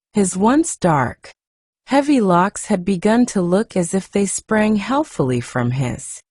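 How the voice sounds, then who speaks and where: A woman narrating a storybook in a soft, gentle, expressive way. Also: mid-range voice, dry recording, studio quality. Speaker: audiobook narrator.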